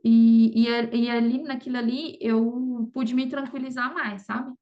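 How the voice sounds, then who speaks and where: A woman's voice: a little high, fairly even intonation, a little slow, muffled recording. A Brazilian woman talking casually as an interview guest, often adding little check-in tags to her sentences. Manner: conversational, frequent "sabe" and "entendeu" tags. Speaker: podcast guest, affiliate marketer.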